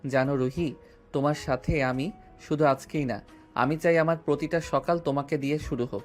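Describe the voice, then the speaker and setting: A man's voice: fairly high pitch, steady tone, moderate pace, darkish tone, lightly acted. An actor playing a playful, persistent young man who courts a woman with teasing affection. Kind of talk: Bengali audio drama acting. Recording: music underneath.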